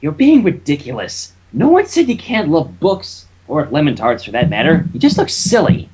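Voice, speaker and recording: A reader puts on a young male dragon sidekick from a cartoon. The voice is rough and animated, with an exasperated, hesitant manner, always on the defensive. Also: medium pitch, moderate pace, heavily acted, low-quality recording.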